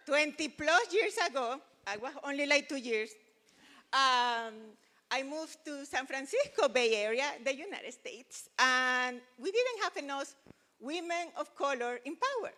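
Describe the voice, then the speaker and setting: Woman, high bright voice, slow rousing emcee, long hall echo. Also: expressive. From Venezuela. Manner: slow, emphatic, rousing delivery. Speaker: event emcee.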